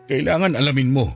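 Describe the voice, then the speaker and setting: A man's voice: mid-range voice, gravelly, breathy edge, full character voice. A man acting a devoted, protective young lover, earnest and intense, in a Filipino radio drama.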